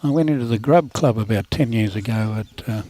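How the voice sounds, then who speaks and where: Dry-humoured Australian bowls commentator. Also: male voice, lowish voice, fairly quick, mellow. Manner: dry, self-deprecating humour. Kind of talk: relaxed live sports commentary.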